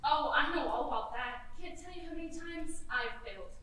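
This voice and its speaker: A teenage girl as an insecure high-pitched goddess, echoey stage. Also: brisk, pushed and bright. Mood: defensive, earnest. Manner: defensive, pleading, emphatic. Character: insecure, eager young goddess of delusion and failure. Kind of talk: high school stage play acting. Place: theater stage, live performance. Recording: echoey stage, distant mic, faint noise.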